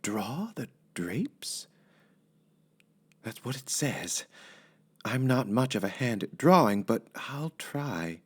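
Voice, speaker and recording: A man's voice: medium pitch, sing-song, husky, heavily acted. A man voicing a cheerful, naive woman housekeeper in a children's storybook, earnest and literal-minded, musing aloud in a folksy way. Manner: slow, exclaiming, folksy musing.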